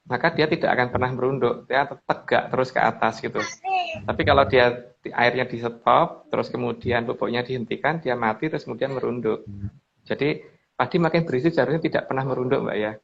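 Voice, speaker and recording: Male presenter, mid pitch, gravelly, rambling explainer; muffled low-fi recording. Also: a bit bright, slight background noise. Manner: rambling, with restarts and addressing the reader as Mbak. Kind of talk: casual spoken commentary on slides. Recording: dull lo-fi recording.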